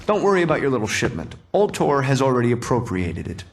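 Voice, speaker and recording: An actor playing a smug, cold corporate executive, condescending and controlled, in a video game cutscene. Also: male voice, medium pitch, sing-song, quick pace, background noise. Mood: smug, taunting.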